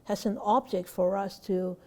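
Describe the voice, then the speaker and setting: Mellow-voiced woman teaching Buddhist meditation. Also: medium pitch, steady tone, a little slow. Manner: hesitant, many fillers and restarts; asks rhetorical questions. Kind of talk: unscripted teaching answer.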